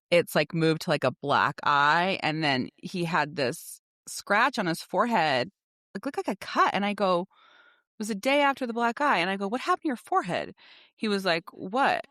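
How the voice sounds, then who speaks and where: A woman's voice: low voice, no room sound, clean studio sound. A middle-aged woman co-hosting a podcast, casually telling family stories and acting out the dialogue. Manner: animated storytelling, acts out dialogue. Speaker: podcast co-host. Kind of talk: casual chatty parenting podcast talk.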